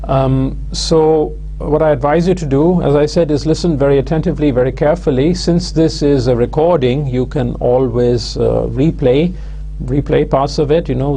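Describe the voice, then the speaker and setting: A man, giving a recorded university lecture in a measured, hesitant way full of "uh" and "um" fillers. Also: medium pitch, gravelly, dark tone, a little hiss or hum. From London, England. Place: studio with plain backdrop.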